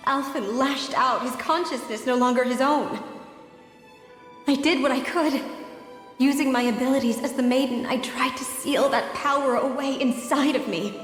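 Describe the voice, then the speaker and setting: An actress playing a remorseful ancient maiden, speaking a solemn, measured, sorrowful monologue in a video game. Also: female voice, fairly high pitch, natural voice. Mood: anguished guilt. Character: remorseful ancient maiden confessing her doom. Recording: echoing long reverb, music behind.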